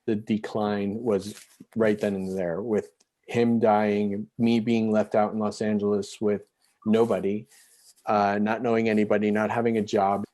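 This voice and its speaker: A man in his forties telling his own life story as an interview guest, halting, with frequent restarts and fillers. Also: fairly low pitch, level tone, dark tone. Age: 40s. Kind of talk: candid personal storytelling.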